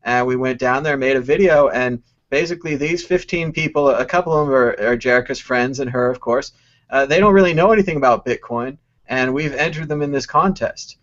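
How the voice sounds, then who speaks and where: Male host of a casual web talk show, jokey, mid pitch, slightly muffled stream audio. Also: brisk, a bit bright. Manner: jokey, laughs often. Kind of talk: casual live-stream chat.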